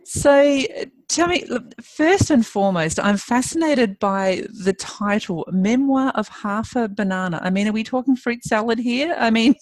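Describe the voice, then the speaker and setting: A woman's voice: on the high side, lively intonation, measured pace, a little mellow, no room sound, low-quality recording. A woman hosting an interview in an effusive, enthusiastic way. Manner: effusive, enthusiastic, laughs easily. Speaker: podcast host. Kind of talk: upbeat interview.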